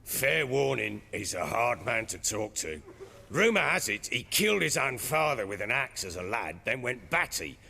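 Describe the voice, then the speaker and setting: A gravelly wary villager. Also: male voice, medium pitch, sing-song, moderate pace, bright, forceful tone, big-room sound, exaggerated voice.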